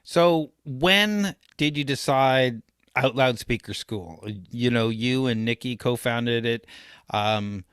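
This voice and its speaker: A man's voice: medium pitch, takes it slowly, fairly bright tone, smooth, no echo, studio quality. A man hosting a conversational interview, putting questions to his guest. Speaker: interview host, startup coach.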